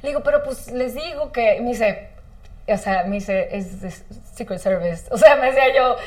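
A woman's voice: a little high, normal speed. A woman, a talk show guest, telling a funny story in casual chat and acting out the lines of the people in it. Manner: animated storyteller, acts out quoted lines.

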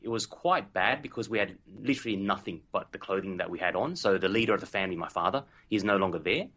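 A man in his forties with an Australian accent tells his story as an interview guest. His slightly low voice is bright and forceful, and he speaks earnestly with plenty of filler phrases. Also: animated, fast. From Vietnam. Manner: earnest, leans on "literally" and "so to speak". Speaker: podcast guest.

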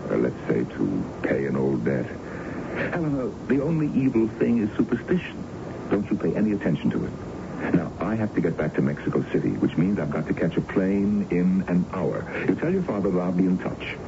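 Deep, suave radio-drama businessman. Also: big pitch swings, fairly quick, gravelly, full character voice. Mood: assured, persuasive. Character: suave confident businessman, dismissive of superstition. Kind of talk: 1970s American radio drama acting. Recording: dull, noisy 1970s radio broadcast.

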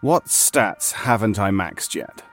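A man's voice: fairly low pitch, expressive, slow pace, fairly bright tone, soft background music, exaggerated voice. A man playing a weary, stubborn veteran player of a surreal game, wry and conversational in a naturalistic audio drama. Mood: wry, resigned.

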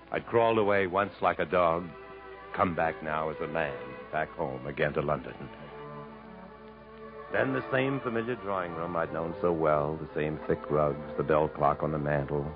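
An actor playing a weary, ardent wanderer in a 1950s radio drama, speaking in an earnest, dramatic, literary way. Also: male voice, deep voice, lightly acted. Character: weary, ardent wanderer returning home to his lost love. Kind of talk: 1950s radio drama acting, narration and dialogue. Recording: tinny 1950s radio broadcast recording.

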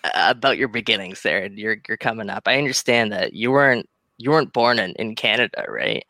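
Man, medium pitch, bright forceful tone, very dry sound, casual co-host, enthusiastic banter. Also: animated, fairly quick, no echo. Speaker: show co-host, interviewer. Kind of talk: casual sports chat.

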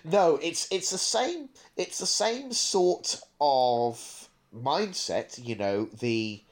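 A man's voice: fairly high pitch, lively intonation, extremely slow. A man hosting a podcast in casual review chat, thinking aloud in a discursive way. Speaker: podcast host.

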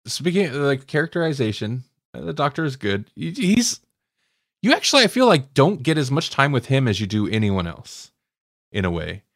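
A man's voice: mid-range voice, sing-song, moderate pace, a bit bright, no echo, studio quality. A man co-hosting a podcast, chatting casually and giving his opinions in a conversational way. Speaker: podcast co-host. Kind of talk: casual fan discussion chat.

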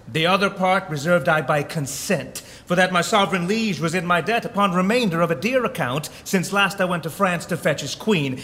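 A man's voice: sits high, pushed and bright. A man playing a proud, hot-blooded accused duke, speaking Shakespearean verse in an emphatic, formal, declamatory way. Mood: indignant. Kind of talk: Shakespearean verse drama acting. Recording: long echoing hall reverb.

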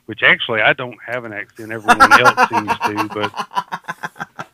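A man's voice: high voice, sing-song, a little slow, creaky, sharp tone. A man with a Southern US accent co-hosting a podcast, chatting casually in a folksy, joking way with chuckles. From Mississippi, United States. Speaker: podcast co-host. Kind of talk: casual chat.

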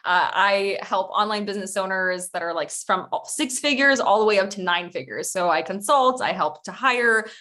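A woman from Ukraine, a recruitment consultant, giving a conversational presentation over a video call, chatty with small laughs and quick restarts. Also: medium pitch, animated, sharp tone.

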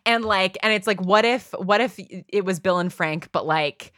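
A woman's voice: mid-range voice, moderate pace, fairly bright tone, no echo, clean studio sound. A woman being interviewed casually, thinking aloud in a meandering way with lots of filler words. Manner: thinks aloud, meandering, frequent "like" and "you know". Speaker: interview guest, audio drama writer.

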